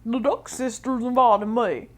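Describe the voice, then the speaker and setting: A woman's voice: a little high, steady tone, measured pace, voice pushed up. A woman reading aloud and voicing a big, clumsy, cheerful male horse in a storybook. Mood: eager.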